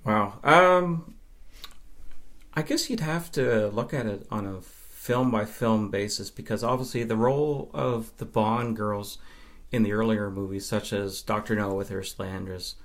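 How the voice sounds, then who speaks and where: A man's voice: lowish voice, measured pace. A man from Nova Scotia, Canada, chatting casually as an interview guest and film fan.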